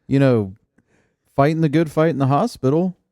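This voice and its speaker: A man co-hosting a podcast, talking in a relaxed, matter-of-fact way. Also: fairly high pitch, normal speed, no echo, studio quality. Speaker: podcast co-host. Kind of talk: casual chat.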